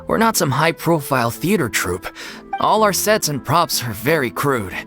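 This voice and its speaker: A man's voice: a little high, big pitch swings, moderate pace, a bit bright, soft background music. An actor playing an earnest, polite young man from an amateur theater troupe, hesitant in manner, in a video game. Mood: downcast, resigned. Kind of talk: video game character dialogue.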